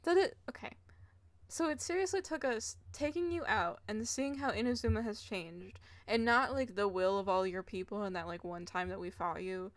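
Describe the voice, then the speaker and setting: A woman's voice: mid-range voice, moderate pace. A young woman streaming a video game, talking in a casual, sarcastic, blunt and giggly way. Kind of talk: casual live gameplay commentary.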